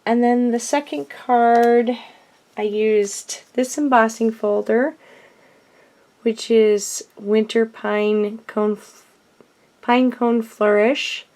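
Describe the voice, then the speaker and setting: A woman with a cold and a hoarse voice, chatting casually as she shows her handmade cards, with frequent ums and self-corrections. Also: a little high, steady tone, drawn-out words, darkish tone. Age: adult. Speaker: card-making vlogger. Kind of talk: casual craft show-and-tell.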